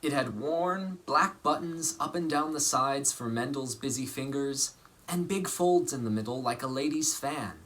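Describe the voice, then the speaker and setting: A man reading a children's story aloud as an actor, with deliberate, theatrical phrasing and dramatic emphasis. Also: mid-range voice, a bit bright. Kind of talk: expressive storybook narration.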